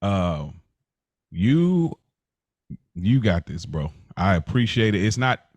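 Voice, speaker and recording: An American man co-hosting a podcast in casual talk, earnest and halting, repeating himself for emphasis. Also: lowish voice, sing-song, dry recording. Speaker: podcast co-host. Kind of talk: casual chat.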